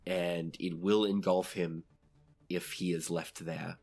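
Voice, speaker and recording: A game master with a low-pitched voice narrates a tabletop roleplaying game. The delivery is conversational and easygoing, moving between scene description and rulings for the players. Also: flat delivery, slow, a little mellow, slightly rough.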